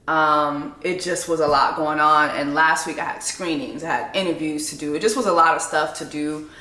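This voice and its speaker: Bright-toned chatty woman in an echoey room. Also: low voice, fairly even intonation, moderate pace. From Canada. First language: Haitian Creole. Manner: chatty, loose, self-deprecating, rambling asides. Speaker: vlogger and TV co-host. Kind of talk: casual chat to camera.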